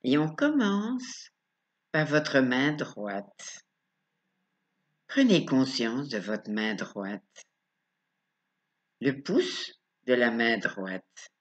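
Female meditation guide, calm and unhurried instructional delivery, slightly muffled lo-fi recording. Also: very deep voice. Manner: calm, instructional, short phrases with pauses. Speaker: relaxation coach guiding yoga nidra.

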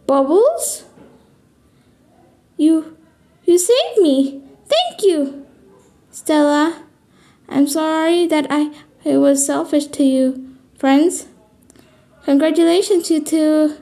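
A woman's voice: high voice, expressive, takes it slowly, pauses often, mellow, heavily acted. A woman voicing a haughty, vengeful young bird queen in a cartoon, with an imperious, theatrical and scornful manner. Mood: softened, apologetic. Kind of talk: cartoon character voice lines.

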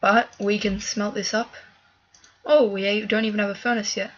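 A woman giving casual let's-play commentary as she plays, chatty and thinking aloud with fillers, a little hoarse from a cough. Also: mid-range voice, level tone, normal speed, a bit bright, dull audio. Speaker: gaming video creator.

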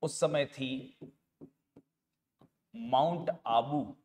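A man in his forties with a sore throat, lecturing students deliberately and repeating key phrases as he teaches on a lapel mic. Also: mid-range voice, darkish tone. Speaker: history teacher for exam students. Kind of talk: classroom lecture with asides to students. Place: indoor studio classroom at a green board. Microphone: clip-on lapel mic.

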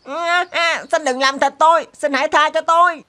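A woman's voice: high voice, steady tone, moderate pace, sharp tone. A woman voicing a cunning, fawning wild turkey in a cartoon, pleading and persuading. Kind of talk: cartoon character acting.

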